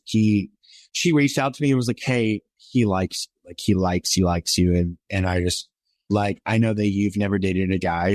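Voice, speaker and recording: A man in his twenties, a podcast guest, telling his personal story in a rambling, earnest way full of fillers. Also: dry recording. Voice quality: somewhat high voice for a man.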